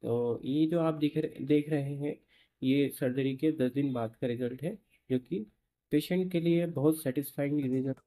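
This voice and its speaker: Young man, clinic doctor, explanatory, pauses with fillers, clinic room. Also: fairly high pitch, warm tone, poor audio. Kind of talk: explaining to camera.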